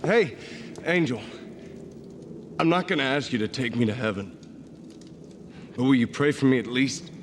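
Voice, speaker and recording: An actor playing a brash, talkative young adventurer in a video game, wry and sometimes stammering. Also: male voice, medium pitch, normal speed, pauses often, slight background noise, natural voice. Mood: weary, pleading. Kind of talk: dramatic video game voice acting.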